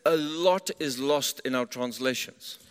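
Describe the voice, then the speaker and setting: A man's voice: mid-range voice, smooth. A man preaching in English in short phrases for an interpreter, whose first language is Afrikaans. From southern Africa. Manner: pauses in short phrases for the interpreter, some restarts. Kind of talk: sermon with live interpreter. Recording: long hall reverb.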